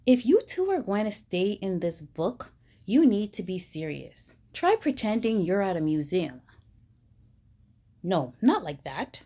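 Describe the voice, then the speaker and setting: Slow, animated woman reading a storybook. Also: medium pitch. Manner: slow, expressive reading with mock-stern emphasis. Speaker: storybook reader. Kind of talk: children's picture book read aloud.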